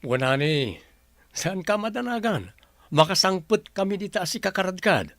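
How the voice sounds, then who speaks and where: A man's voice: sits high, very animated, moderate pace, fairly bright tone, no echo, heavily acted. A man playing a devoted young groom-to-be, reassuring and teasing, in a radio-style drama.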